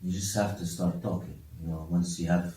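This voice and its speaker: Deep-voiced young man, hesitant, echoey room. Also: steady tone, moderate pace, warm tone, recorded from a distance. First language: Arabic. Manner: hesitant, many restarts and fillers. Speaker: interviewee. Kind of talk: casual interview answers.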